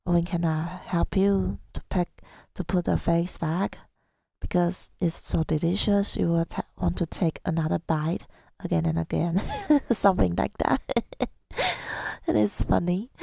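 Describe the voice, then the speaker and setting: A woman telling a story in soft-spoken ASMR style, gentle and unhurried, slipping toward a breathy whisper, close to the microphone. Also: lowish voice, no room sound. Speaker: ASMR audio creator.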